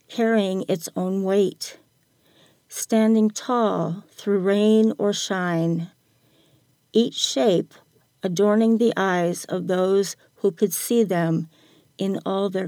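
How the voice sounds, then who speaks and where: A woman's voice: medium pitch, warm tone, no room sound. An older woman reading her own reflective written story aloud as a guest writer.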